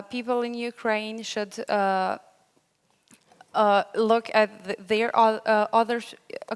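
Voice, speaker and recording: A young woman giving an unscripted panel answer, halting as she searches for words. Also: mid-range voice, vocal fry, clear, resonant tone. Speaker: panel speaker. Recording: echoey hall with long reverb.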